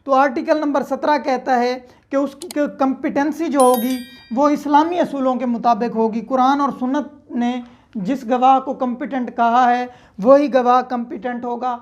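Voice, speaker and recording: A woman's voice: sits high, steady tone, normal speed, darkish tone. A woman with a Pakistani accent giving an explanatory lecture, methodically repeating key points and mixing in English legal terms. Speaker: law lecturer. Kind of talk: explanatory lecture with everyday examples.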